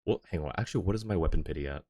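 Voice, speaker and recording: A man's voice: rumbling low, very fast, warm tone, no room sound, studio quality. A man talking casually on a live gaming stream, rambling and thinking aloud as he goes. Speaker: game livestreamer.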